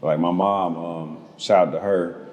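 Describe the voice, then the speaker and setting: A man's voice: low-pitched, steady tone, a little slow, a little mellow. A young man from Georgia, a painter guest in a casual interview, talking laid-back with lots of slang and swearing. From Georgia, United States.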